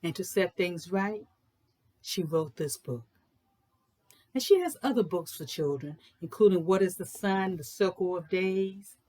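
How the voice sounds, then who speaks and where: Lower-pitched woman presenting children's books. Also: animated, a little slow, warm tone. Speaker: read-aloud presenter introducing and reading children's books. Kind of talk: informal book talk and storybook read-aloud.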